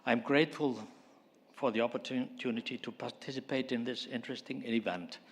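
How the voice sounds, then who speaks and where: A man's voice: mid-range voice, fairly even intonation. Man giving a formal talk. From Iceland. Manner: formal, measured, pausing often. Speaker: conference speaker. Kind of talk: prepared conference talk.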